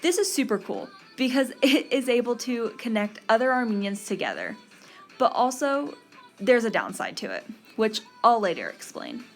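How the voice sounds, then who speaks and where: A woman's voice: fairly high pitch, sing-song, moderate pace, faint music behind. Young woman host reading a script. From Texas, USA. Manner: earnest, read-aloud delivery. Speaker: podcast host. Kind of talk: scripted podcast narration.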